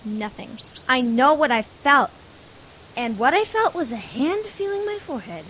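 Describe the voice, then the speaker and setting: Female actor doing bossy insistent girl camper, highish voice, noisy ambience, audio play. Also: sing-song, moderate pace, fairly bright tone. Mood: indignant, firm. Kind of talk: amateur audio drama acting. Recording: clear background noise and ambience.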